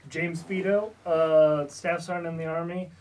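A young man talks as a guest, joking and poking fun at himself, quick to laugh. His voice sits a little high for a man. He sounds set back a bit from the microphone. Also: fairly even intonation, darkish tone, recorded from a distance, rough recording. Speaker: guest, Army Russian linguist. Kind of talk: casual interview chat.

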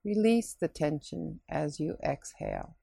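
A woman leading a scripted guided relaxation meditation in a soothing, unhurried, instructing way with pauses. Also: low voice, wildly swooping pitch, creaky, warm tone, husky, no echo, clean studio sound.

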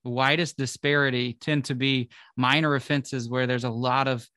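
A man's voice: medium pitch, little pitch movement, normal speed, sharp tone, clean tone, no echo, clean studio sound. A man hosting a conversational interview podcast, phrasing his questions in a hesitant, roundabout way. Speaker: podcast host interviewing a guest.

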